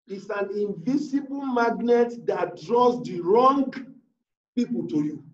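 A man preaching as a pastor, giving emphatic, repetitive prayer declarations over a video call. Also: sits high. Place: indoors, online video call. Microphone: video call device mic. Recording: roomy, distant, muffled video call.

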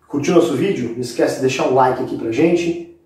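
A man's voice: mid-range voice, fairly quick, a little mellow. A man in his forties with a Brazilian accent, casually explaining a recipe step by step to viewers in an informal, instructive way. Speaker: cooking show host. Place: indoor kitchen set with brick wall. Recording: very echoey room, distant microphone.

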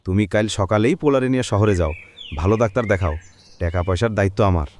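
A man's voice: lowish voice, fast talker, natural voice. A man with a rural Bangladeshi accent playing a kind, devout village friend in a cartoon, earnest and reassuring. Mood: consoling. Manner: earnest, consoling, gently exhorting. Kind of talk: cartoon voice acting. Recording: faint background noise with birdsong.

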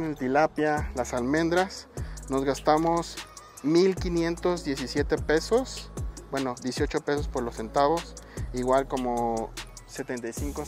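A man in his thirties with a Mexican Spanish accent, chatting casually in a vlog as he shops for food and counts out prices aloud. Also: on the high side. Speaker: bodybuilder vlogger. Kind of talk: casual vlog, showing his weekly grocery shopping. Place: supermarket aisles, car and shops.

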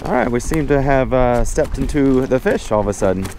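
A man's voice: medium pitch, expressive, brisk, a little mellow. An American man giving chatty, folksy first-person fishing commentary to his viewers, recorded outdoors on a boat. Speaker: fishing vlogger. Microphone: action camera worn on body. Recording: outdoor noise on open boat.

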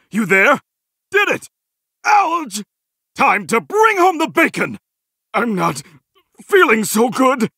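Very high-pitched goofy, over-eager game hero. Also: male voice, pushed and bright, dry recording, studio quality, heavily acted. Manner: over-eager, exclamatory, cheesy heroic delivery. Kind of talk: video game battle barks.

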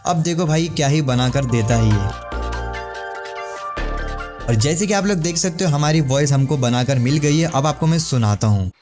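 A man's voice: fairly high pitch, lively intonation, normal speed, long pauses, music in the background. A man, chatting informally to viewers as he explains things in a tutorial. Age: 20s. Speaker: tech tutorial YouTuber. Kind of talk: casual explainer to camera and screen-recording voice-over. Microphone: clip-on lavalier with windscreen.

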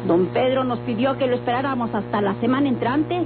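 Woman playing a high-voiced scolding mother. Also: fairly quick, close to an everyday voice. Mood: suspicious, scolding. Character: suspicious, scolding country mother. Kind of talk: old Mexican radio melodrama acting. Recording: tinny old radio recording, music behind.

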